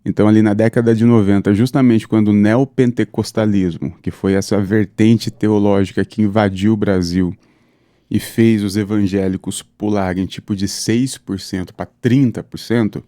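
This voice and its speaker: A man, talking with a low, mellow voice. He tells his story steadily and fluently, often adding "né". The sound is close and dry. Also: steady tone, moderate pace, dry recording. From São Paulo state, Brazil. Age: 30s. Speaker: pastor, guest. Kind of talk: conversational interview, personal account.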